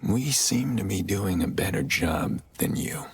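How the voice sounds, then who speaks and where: A deep, gravelly male game hero, blunt and defiant. He is terse and dry, with a husky edge. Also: lively intonation, unhurried, no echo, heavily acted. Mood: firm, sincere. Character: blunt, defiant, gritty male resistance operative. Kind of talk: video game cutscene dialogue.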